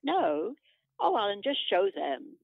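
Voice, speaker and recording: Woman acting an excitable, creaky, bossy show-off wife. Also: mid-range voice, slow, no echo, full character voice. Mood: exasperated. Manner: bossy, showy. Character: excitable, proud woman showing off husband's craft. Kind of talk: amateur radio play, video-call meeting. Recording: thin, dry phone line.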